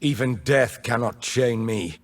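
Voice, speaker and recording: A male actor voicing a defiant, roguish rebel mage and former prisoner in a video game, with theatrical, taunting delivery and a husky voice. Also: medium pitch, measured pace, close to an everyday voice. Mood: grim, resolute. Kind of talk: video game character voice lines.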